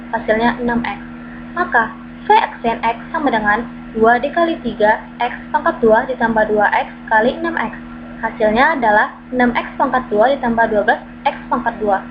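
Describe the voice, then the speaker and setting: A woman reading through a math lesson, stating formulas and worked examples in a steady, methodical, step-by-step way. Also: a little high. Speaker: math tutor. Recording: dull lo-fi recording with clear background hum.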